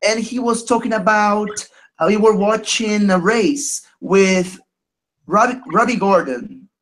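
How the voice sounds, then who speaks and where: A man in his thirties from Brazil with a Brazilian Portuguese accent, speaking English as a second language on a video call, halting and hesitant, with many fillers. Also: sits high, a bit bright, rough recording. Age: 30s. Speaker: caller. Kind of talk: casual chat.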